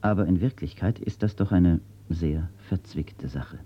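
A man, a writer, reading his own words and reciting nature poetry in a slow, measured, solemn way, from an old archive recording. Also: sits low, slightly rough, highs cut off. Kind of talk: poetry recitation and read-out statements.

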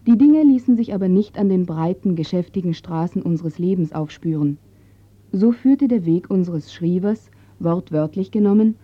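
A woman narrating a radio feature, reading a script in a calm, even way. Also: lowish voice, no echo, lo-fi sound.

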